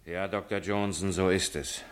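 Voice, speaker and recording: A man playing an earnest, politely ironic writer in a German radio play, humane and quietly stubborn. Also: low voice, fairly even intonation, moderate pace, close to an everyday voice. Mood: earnest, determined.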